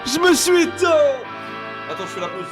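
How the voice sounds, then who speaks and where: A man's voice: very high-pitched, very animated, normal speed, music in the background. A man giving a casual, slangy, exclamatory live reaction as an anime streamer, often repeating himself.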